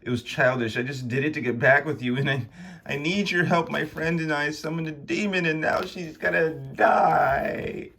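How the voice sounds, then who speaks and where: A man's voice: fairly high pitch, moderate pace, gravelly, a bit bright, a little hiss or hum, pitched above the performer's own voice. A man voicing a troubled young man in a story, urgent, apologetic and pleading. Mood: desperate pleading.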